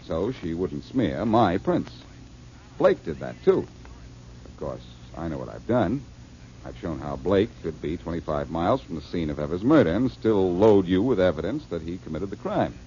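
A cocky detective lays out how a murder was faked, in a confident tone. His voice is low and lively. The 1940s radio recording is dull and a little hissy. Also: moderate pace. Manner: confident, explaining.

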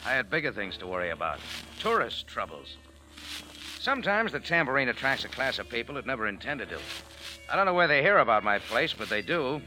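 An actor playing a sardonic American cafe owner, narrating in slangy, dry style for a vintage radio drama. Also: male voice, mid-range voice, very animated, fairly quick, sharp tone, faint music behind, poor audio. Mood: wry, unruffled. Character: wry, hard-boiled American male cafe owner. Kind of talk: 1940s radio acting, first-person narration.

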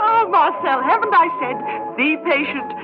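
An actress playing a flirtatious, gushing party hostess in a theatrical, breezy 1960s radio drama style. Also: female voice, extremely high pitch, expressive, measured pace. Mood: gushing, amused. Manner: theatrical, breezy, punctuated with laughter. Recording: dull 1960s radio recording, loud music.

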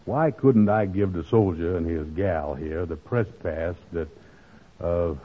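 A man playing a gullible, soft-hearted, grumbling fellow in a 1940s radio comedy, with hesitant, folksy, ungrammatical speech. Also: low voice, unhurried. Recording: muffled, noisy old radio broadcast.

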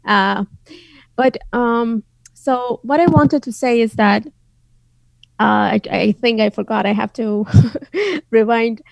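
A woman's voice: on the high side, takes it slowly, vocal fry, long pauses, no room sound, low-quality recording. A woman from northwest Iran whose first language is Azeri, speaking English with an Iranian accent as a podcast guest, hesitant with many fillers and restarts. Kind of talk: casual interview chat.

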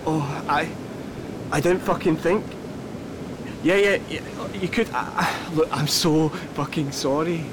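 A man acting in an audio drama as a remorseful, earnest partner, speaking in a halting, confessional way and swearing as he goes. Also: fairly even intonation, close to an everyday voice. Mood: panicked, apologetic. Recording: loud rushing surf-like rumble noise.

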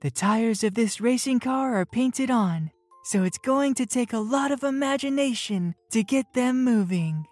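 A woman's voice: fairly high pitch, expressive, measured pace, darkish tone, no echo, soft background music, pitched above the performer's own voice. A woman voicing a kindly, playful, inventive mother in a children's storybook. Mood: playful instruction.

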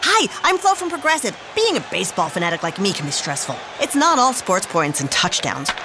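A woman's voice: mid-range voice, very animated, brisk. A woman doing a perky, bubbly comic radio ad. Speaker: ad spokesperson. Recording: ad with music behind.

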